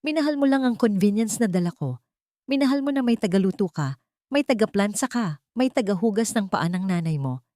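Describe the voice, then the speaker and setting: A Filipino woman voicing a hurt, coldly resolute young wife in an audio romance, speaking in a calm, bitter, measured manner. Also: mid-range voice, no room sound, studio quality, pitched above the performer's own voice, natural voice. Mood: cold accusation.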